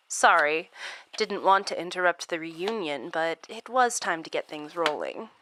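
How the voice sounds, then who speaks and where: A woman's voice: lowish voice, lively intonation, measured pace, pushed and bright, a little hiss or hum. An actress playing a smug, playfully cruel supernatural woman in a horror audio drama, speaking in a mocking, theatrical way. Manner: mocking, theatrical, breaking into chuckles and sighs.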